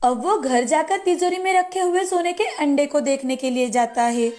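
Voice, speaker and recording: A woman's voice: high-pitched, moderate pace, a little hiss or hum. A woman narrating a scripted children's moral story as a storyteller. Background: soft background music.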